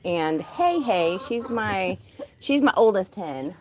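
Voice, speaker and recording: A woman chatting casually on a live stream from her chicken coop outdoors, talking to her birds, with a calm, disinterested-sounding voice. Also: mid-range voice, slow, a little hiss or hum. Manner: chatty, laughs often, talks to her birds. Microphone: phone held in hand.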